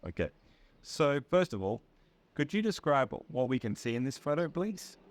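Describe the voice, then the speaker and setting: A man with an Australian accent, an English teacher interviewing a guest in slow, clear English for learners, patient and encouraging. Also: mid-range voice, big pitch swings, no room sound. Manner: patient, encouraging, checks and repeats answers.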